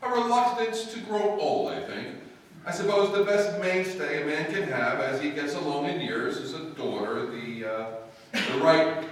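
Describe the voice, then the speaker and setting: An actor playing a cold, pompous older father in a live radio drama, lecturing in a measured, reproachful way. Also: fairly high pitch, lightly acted. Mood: cool, lecturing. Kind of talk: live old-time radio stage acting. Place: live stage performance in a hall. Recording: very echoey hall, distant microphone, background noise.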